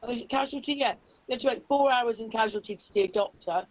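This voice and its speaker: A woman's voice: on the high side, fast, poor audio. A woman hosting a casual discussion on an online call. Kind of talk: casual chat. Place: indoors on an online video call.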